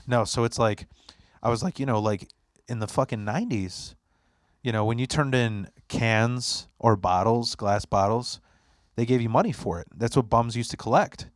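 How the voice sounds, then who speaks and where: A man's voice: medium pitch, moderate pace, dry recording, clean studio sound. A man whose first language is Polish, hosting a podcast in casual chat and telling rambling, profane stories with lots of restarts. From Poland. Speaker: podcast host.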